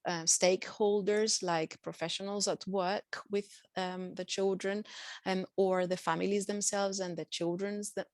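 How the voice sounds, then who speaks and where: A woman whose native language is Greek, a university lecturer giving a measured, explanatory presentation over a video call. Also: mid-range voice, no room sound, studio quality. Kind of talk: online presentation.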